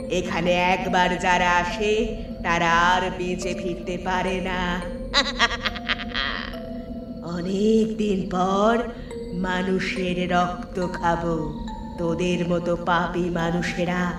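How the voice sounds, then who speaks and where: A woman voicing a vengeful, bloodthirsty female ghoul in a horror cartoon, slow and taunting. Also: on the low side, echoey room.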